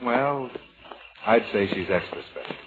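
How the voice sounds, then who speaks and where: Low-voiced young sailor, tinny radio. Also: male voice, expressive, a little slow, background noise. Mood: romantic. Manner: casual, friendly, plain-spoken. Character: easygoing, gallant young sailor hero. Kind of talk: 1940s radio romance acting. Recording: thin, narrow-band vintage radio recording.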